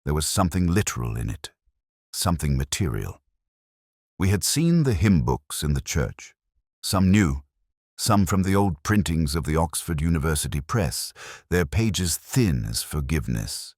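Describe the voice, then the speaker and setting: A man reading a scripted first-person detective story in a measured, brooding, literary manner. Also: extremely low pitch, very animated, darkish tone, slightly rough, no echo, clean studio sound. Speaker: narrator.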